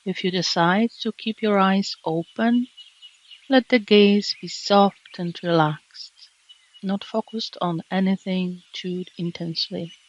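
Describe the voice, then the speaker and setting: A woman meditation teacher narrating a guided relaxation in a gentle, calming, measured way with long pauses. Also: mid-range voice, dull audio. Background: birdsong and nature sounds.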